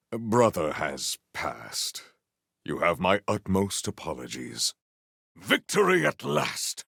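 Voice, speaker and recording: Proud noble game warrior, super dramatic up and down delivery, slow n formal, bit of gravel. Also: male voice, fairly low pitch, fairly bright tone, dry recording, clean studio sound, exaggerated voice. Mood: solemn, measured, then grim, resolute. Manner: formal, archaic, theatrical diction. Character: proud, formal, honor-bound male warrior, grandiose and battle-hungry. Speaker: video game voice actor. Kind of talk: theatrical video game character voice lines.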